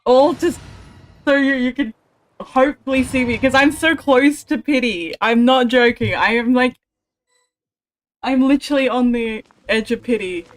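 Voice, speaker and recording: A woman's voice: high-pitched, moderate pace, a little hiss or hum. A woman livestreaming a video game, chatting casually to herself and her viewers. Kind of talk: casual gaming livestream commentary. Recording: game music behind the voice. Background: video game music.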